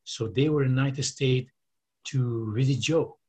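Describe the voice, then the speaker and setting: A man's voice: mid-range voice, unhurried, mellow, slightly rough. An older man from Romania with a Romanian accent, telling long rambling stories with many fillers and quoting others. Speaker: interview guest. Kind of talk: casual storytelling.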